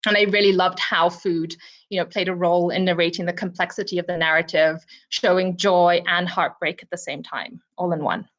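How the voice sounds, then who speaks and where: A woman's voice: lowish voice, steady tone, a little fast, a bit bright, lo-fi sound. Woman from Zimbabwe, professor at a book club, thoughtful and personal, leaning on ums and you knows, heard over a video call. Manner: reflective, personal, frequent "um" and "you know" fillers. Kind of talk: virtual book discussion talk.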